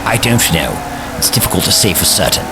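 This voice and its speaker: A man acting in a science fiction audio drama as a learned, authoritative time-traveling alien who speaks in a measured, formal, lecturing way. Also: low voice, big pitch swings, heavy background noise. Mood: grave, explaining. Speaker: lead actor in an audio drama.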